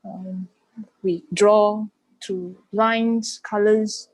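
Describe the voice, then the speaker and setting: A woman giving reflective answers as an interview guest, haltingly searching for her words. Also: mid-range voice, steady tone, a little mellow, dry recording, poor audio. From Malaysia. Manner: halting, searching for words in non-native English. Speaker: art curator and panel guest.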